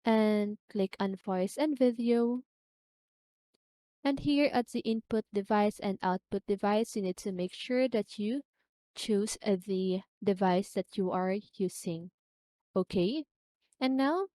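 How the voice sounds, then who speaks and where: Slow-paced woman giving a tutorial. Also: mid-range voice, warm tone, no echo. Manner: instructional, often checking with "okay?". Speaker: tutorial presenter. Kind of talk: step-by-step software tutorial.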